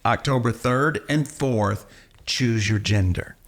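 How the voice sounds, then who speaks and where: A man's voice: mid-range voice, takes it slowly. A man hosting a casual church podcast chat, joking and rambling, often trailing off mid-sentence. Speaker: host, lead pastor.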